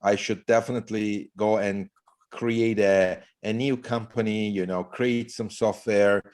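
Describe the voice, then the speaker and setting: A man's voice: fairly low pitch, fairly even intonation, a little slow, darkish tone. A middle-aged man from Turkey, a startup CEO talking as a podcast guest in a loose, rambling way with many fillers. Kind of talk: casual interview talk.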